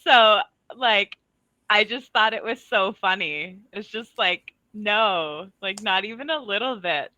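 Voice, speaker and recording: A woman's voice: mid-range voice, slow, bright, forceful tone, no room sound, lo-fi sound. A woman tutoring English over a video call, chatting casually and laughing often as she tells stories. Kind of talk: casual online lesson chat.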